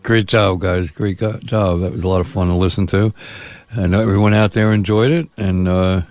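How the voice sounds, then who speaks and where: A man's voice: low voice, fairly even intonation, moderate pace, a little mellow, no echo. A man chatting casually as a radio host. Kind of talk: casual chat.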